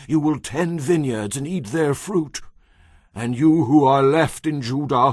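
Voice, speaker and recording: An actor playing a stern prophet who declares God's word in a solemn, emphatic, declamatory way for a dramatized audio Bible. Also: male voice, mid-range voice, breathy edge, no echo.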